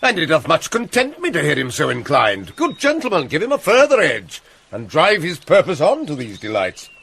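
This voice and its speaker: A watchful, scheming king in a Shakespearean play, British accent. His delivery is courtly and commanding, with very wide swoops in pitch, over faint background ambience. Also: huge pitch swings, moderate pace, vocal fry, a bit bright, a little hiss or hum, heavily acted. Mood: hearty. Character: smooth, calculating, watchful middle-aged usurper king. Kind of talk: theatrical Shakespearean radio drama.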